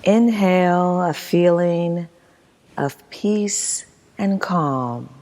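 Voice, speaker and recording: A woman leading a guided meditation as instructor, reading in a soothing, measured manner with long pauses. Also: fairly low pitch, animated, a little mellow. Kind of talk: guided meditation, scripted narration. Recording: long hall-like reverb.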